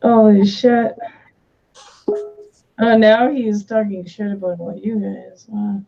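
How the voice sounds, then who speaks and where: A woman chatting casually in a group stream, giggly and quick with asides. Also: medium pitch, flat delivery, creaky. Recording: lo-fi mic.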